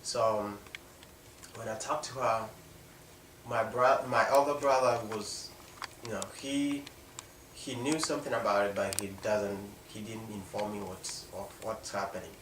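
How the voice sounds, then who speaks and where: A young man from South Sudan with a South Sudanese accent tells a personal story unscripted, in a halting way with pauses mid-sentence. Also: lowish voice, fairly even intonation, fairly bright tone. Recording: echoey room, distant mic, faint noise.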